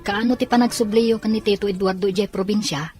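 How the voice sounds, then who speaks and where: A woman playing an observant, concerned young cousin in an Ilocano audio drama, with a husky voice. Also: mid-range voice, fairly even intonation, quick pace, music in the background, close to an everyday voice. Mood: casual.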